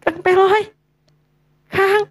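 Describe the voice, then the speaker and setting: High, slow, plaintive young maiden played by a woman. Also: steady tone, dry recording, full character voice. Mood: pleading. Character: gentle, anxious young maiden searching for her companion. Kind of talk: Sundanese folktale character acting.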